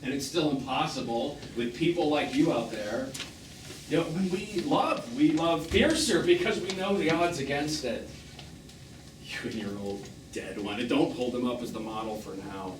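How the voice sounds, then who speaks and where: A man's voice: mid-range voice, normal speed, lightly acted. An actor on stage playing a bitter, wounded man in his fifties, sarcastic and theatrical, as a jilted lover in a live drama. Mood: impassioned, aching. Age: 50s. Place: small theatre, recorded from the audience. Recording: echoey, noisy stage recording from far off.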